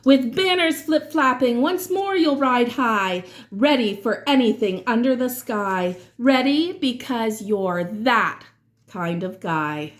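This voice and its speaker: High-voiced woman reading a children's storybook aloud. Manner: expressive, dramatic phrasing with drawn-out words. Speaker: children's read-aloud reader. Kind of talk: expressive storybook read-aloud in rhyming verse.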